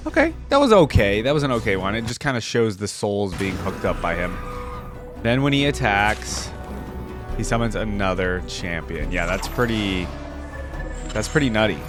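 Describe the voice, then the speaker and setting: A man's voice: on the low side, sing-song, moderate pace, music in the background. A man hosting a reaction video, giving casual, informal and exclamatory commentary.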